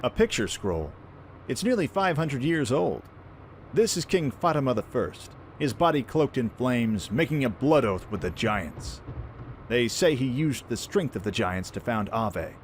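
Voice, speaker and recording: A man playing a brash, friendly young pirate prince in an animated, informal way for a fan audio drama. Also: mid-range voice, measured pace, a little hiss or hum. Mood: proud, explaining.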